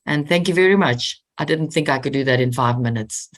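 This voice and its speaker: A woman's voice: a bass voice, a little fast. A woman presenting in a webinar, giving direct practical advice to residents. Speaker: collection and training manager. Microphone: video call.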